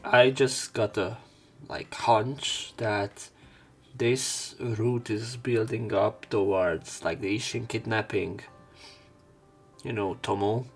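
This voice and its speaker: Lowish-voiced man reading game text aloud. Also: steady tone, slow, breathy edge. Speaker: let's play host. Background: game music.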